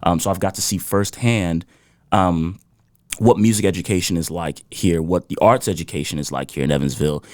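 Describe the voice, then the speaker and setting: A man's voice: deep voice, fairly quick, darkish tone, no echo, clean studio sound. A man from Ghana, a musician guest on a podcast, telling stories in an easygoing way with frequent laughs and "you know.". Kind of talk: casual interview storytelling.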